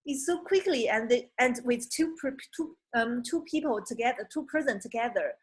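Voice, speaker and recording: A woman from China with a Chinese accent, speaking halting, non-native English full of restarts as a job applicant on a video call. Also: a little high, husky. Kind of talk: conversational English practice.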